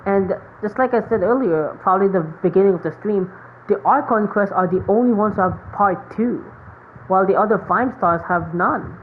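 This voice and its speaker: Casting: female game streamer, mid-pitched, casual rambling commentary, muffled mic with faint noise. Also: moderate pace. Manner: rambling, filler-heavy musing. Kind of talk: casual live gameplay commentary.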